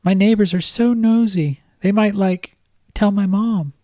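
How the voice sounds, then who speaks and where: A man voicing a young man who fakes a teenage girl's voice, babyish and high with a hint of manliness, hesitant and full of filler words. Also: unhurried, mellow, dry recording, clean studio sound. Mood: coy. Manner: hesitant, lots of um and like.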